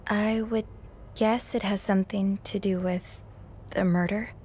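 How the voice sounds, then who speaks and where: A woman's voice: mid-range voice, fairly even intonation, unhurried, a little mellow, breathy edge. An actress playing an earnest hotel maid in a video game drama, polite but standing her ground. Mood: nervous, respectful. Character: earnest, defiant young hotel maid under questioning. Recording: background music behind the voice.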